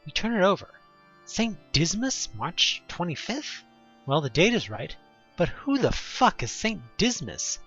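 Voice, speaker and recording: A man's voice: high-pitched, sing-song, normal speed, a bit bright, husky. A man narrating a horror audio drama theatrically in the second person, on a muffled recording with music behind.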